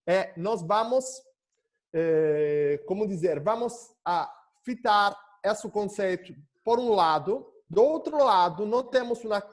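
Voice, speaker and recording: A young man with an Italian accent giving an academic lecture over a video call, often pausing and searching for words. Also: sits high, animated. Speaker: guest lecturer.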